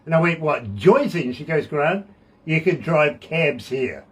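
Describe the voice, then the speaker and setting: A middle-aged man with a New Zealand accent hosts a radio show, his voice sitting slightly high. He spins long, deadpan jokes and quips at his guests in a relaxed studio chat. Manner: cracks deadpan jokes, drawn-out setups. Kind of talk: casual joking interview.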